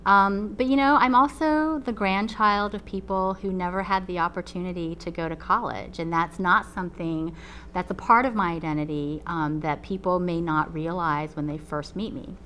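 A low-pitched woman professor speaks in a measured, explanatory way, often pausing on "um". Her first language is Chinese. She sits in a TV studio, and a faint hum runs under the voice. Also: fairly bright tone. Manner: measured, explanatory, frequent ums. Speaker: college professor. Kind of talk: recorded talk to a webinar audience. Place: TV studio with a painted backdrop.

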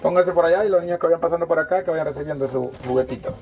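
A man's voice: a little high, very fast, a little mellow. A man with a Salvadoran accent hosting a toy handout, giving informal, repetitive instructions to people. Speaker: host handing out toys. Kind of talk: informal announcing to a crowd. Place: outdoors on a village dirt road. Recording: outdoor crowd noise all around. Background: crowd of children and adults.

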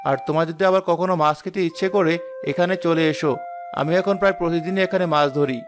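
Guy playing a nice patient village fisher kid in a cartoon, highish voice, gentle n reassuring, music behind. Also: fairly even intonation, quick pace, natural voice. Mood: friendly, calm. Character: kind, patient, helpful young village fisher boy. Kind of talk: animated cartoon dialogue.

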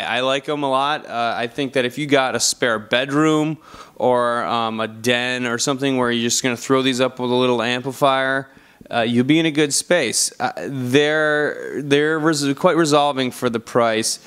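Clear-voiced man in casual review voice-over. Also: mid-range voice, normal speed, a bit bright. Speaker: product reviewer, channel host.

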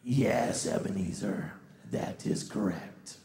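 A man playing a grave, commanding ghost of Christmas future in a radio drama read on stage, speaking slowly and solemnly in a breathy voice. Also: low voice, mellow, heavily acted. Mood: ominous, solemn. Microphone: handheld-type stage mic on stand, close. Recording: echoey theatre stage.

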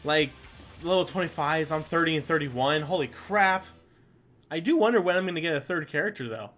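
Bright-voiced man doing casual game commentary. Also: fairly high pitch, fairly quick, a little hiss or hum. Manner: rambling, self-deprecating, mild swearing. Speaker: gaming video host.

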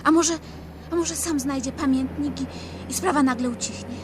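Actress as worried young treasure hunter, high earnest voice, vintage radio play, noticeable noise. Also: female voice, normal speed, lightly acted. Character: anxious, doubting young woman on treasure hunt. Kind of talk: 1970s Polish radio drama acting. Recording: noisy 1970s radio play recording.

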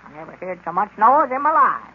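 A man's voice: high voice, very animated, quick pace, breathy edge, full character voice. An actor playing a folksy, excuse-making old small-town man with a rural Southern drawl in 1930s radio comedy. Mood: defensive, chiding. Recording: muffled, noisy vintage radio recording.

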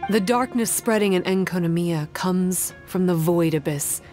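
Woman as a reserved, lower-voiced young shrine maiden. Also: a little mellow, lightly acted. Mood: calm, explaining. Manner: measured, reserved, formal explaining. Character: Tsumi, composed, secretive young masked shrine maiden. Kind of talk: video game voice acting, expository dialogue. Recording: clear game music under the voice.

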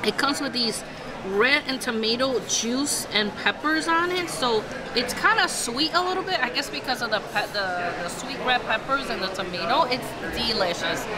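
A young woman casually reviewing dishes at a restaurant table, enthusiastic and savoring each bite. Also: on the high side. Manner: enthusiastic tasting, lots of "mm" and "so good". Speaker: food vlogger. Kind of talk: casual food review chat. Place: busy restaurant dining room. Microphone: camera across the table. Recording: loud diners all around. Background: loud restaurant crowd chatter.

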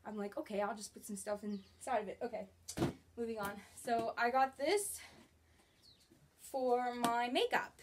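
A young woman casually chatting through a grocery haul in her small apartment, bubbly and gesturing as she talks, recorded on a camera a few feet away. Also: medium pitch. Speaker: vlogger. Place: small studio apartment bedroom, indoors.

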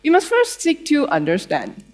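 Young woman, Malaysian accent, higher-pitched voice, conference presenter, conversational, playfully dry. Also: huge pitch swings, moderate pace. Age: young adult. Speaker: conference speaker, developer advocate. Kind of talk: conference tech talk. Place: theatre stage before an audience.

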